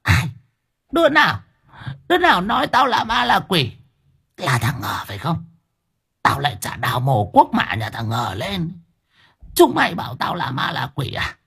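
A man's voice: medium pitch, very animated, moderate pace, fairly bright tone, slightly rough, exaggerated voice. A man voicing a ravenous, possessed old grandmother who screeches curses and snarling threats at her family. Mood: furious.

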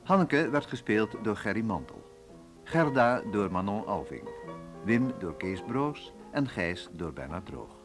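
A male radio announcer reads drama credits in a fairly low voice. His intonation is lively, and plucked guitar music plays clearly behind him. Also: unhurried. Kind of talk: scripted closing credits announcement.

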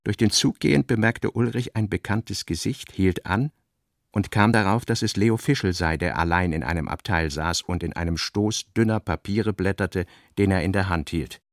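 A man's voice: low voice, moderate pace, no room sound, clean studio sound. A man narrating a literary radio drama, reading scripted prose as the storyteller.

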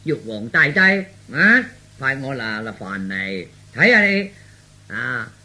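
Casting: brash young son feigning madness, bossy, sharp and forceful, wildly swooping delivery, comic radio drama. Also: male voice, a little high, big pitch swings, normal speed, husky, heavily acted. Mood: mock-mad ranting. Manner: blustering, scolding, bossy.

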